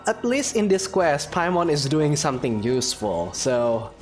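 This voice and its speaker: A man's voice: on the high side, sing-song, normal speed, darkish tone, soft background music. A man giving casual let's play commentary, with joking, teasing asides. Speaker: gaming video creator.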